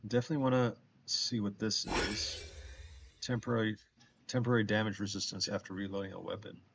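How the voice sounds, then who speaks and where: A man's voice: fairly low pitch, flat delivery, a little fast, gravelly, background noise. A man with a stuffed-up nose from allergies, casually chatting with his viewers while playing a game and thinking his choices through aloud. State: congested from allergies. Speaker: streamer. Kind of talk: casual game streaming chat.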